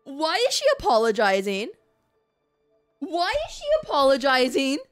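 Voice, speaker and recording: A woman's voice: high-pitched, big pitch swings, measured pace, faint music behind. A woman giving casual, emotive live reaction commentary as a game streamer, close on the microphone. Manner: exclamatory, emotive.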